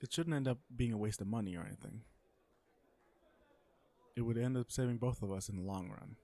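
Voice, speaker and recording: A man playing an awkward, blunt, legal-minded villain on a blind date in an audio roleplay, hesitant and deadpan. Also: fairly low pitch, a little fast, natural voice. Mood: earnest, businesslike. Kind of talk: romantic comedy audio roleplay, one side of a dialogue.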